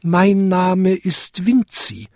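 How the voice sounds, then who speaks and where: A man plays a tiny newcomer bell from a children's storybook. The voice is pitched far up, small and tinkling, shy but very polite and earnest, a naive little thing trying to please. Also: big pitch swings, very slow, a little mellow, no room sound, clean studio sound, exaggerated voice. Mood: apologetic, eager. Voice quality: high, tinkling.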